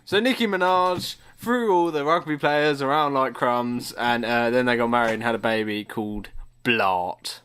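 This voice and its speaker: A man's voice: medium pitch, moderate pace, fairly bright tone, clean tone. A man co-hosting a podcast, narrating an improvised comic story and bantering casually.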